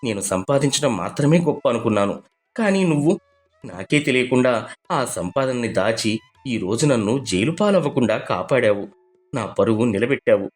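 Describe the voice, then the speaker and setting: A man playing an earnest, emotional husband and father in an animated moral story. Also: mid-range voice, moderate pace, faint music behind, close to an everyday voice. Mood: remorseful.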